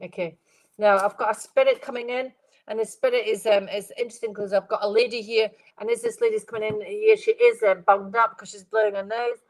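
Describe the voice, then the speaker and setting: A middle-aged woman with a stuffed-up, congested nose gives a psychic reading on a live stream. She talks gently and meanders, circles back on her own phrases, and calls out viewers by name as she goes. Her pitch sits in the middle of the range. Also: steady tone, a little fast, rough recording. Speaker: medium giving live readings.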